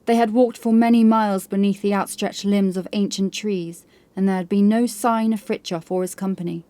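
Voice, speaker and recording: A woman's voice: mid-range voice, fairly even intonation, normal speed, mellow, no room sound. A woman reading scripted horror fiction as an audiobook narrator.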